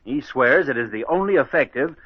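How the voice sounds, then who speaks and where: A dry, lo-fi vintage radio recording of a man acting a male laboratory technician. He reports in a plain, matter-of-fact way at a middling pitch, in old mystery-play style. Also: expressive, a little fast, dry recording, close to an everyday voice.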